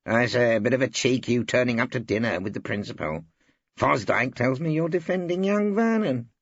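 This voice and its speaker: A man's voice: medium pitch, moderate pace, no room sound, highs cut off, pitched above the performer's own voice, natural voice. A man voicing a sly, ghoulish, slanderous male law tutor with a grating, conspiratorial delivery, in an audiobook. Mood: sly, nosy. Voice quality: grating, like tearing metal. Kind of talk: audiobook character acting.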